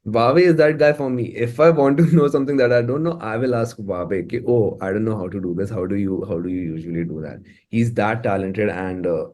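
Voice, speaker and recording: A man's voice: fairly low pitch, brisk, hardly any pauses, darkish tone. A man with an Indian accent, chatting casually and effusively as a music producer being interviewed. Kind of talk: casual, conversational interview talk.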